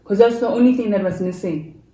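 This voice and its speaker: A woman's voice: mid-range voice, brisk, dark tone, slight background noise. The sound is roomy and echoing around a young South African woman. She talks casually, as if swapping stories at home, and slips easily between languages in an informal way. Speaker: YouTuber hosting a story time with her mother. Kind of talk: casual chat and storytelling.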